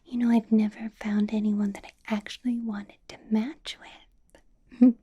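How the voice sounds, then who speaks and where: A woman playing a possessive, doting female vampire boss in a whispered ASMR roleplay, coaxing softly with a sweetly sinister edge. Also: fairly high pitch, level tone, lo-fi sound. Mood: flirty. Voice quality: breathy, whispered. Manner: slow, coaxing, soft giggly delivery.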